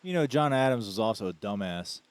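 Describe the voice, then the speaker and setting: A man's voice: lowish voice, brisk, slight background noise. A man co-hosting a casual podcast, telling history anecdotes in a loose, slangy way and saying "dude" a lot. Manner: animated storytelling, slangy, says "dude". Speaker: podcast co-host.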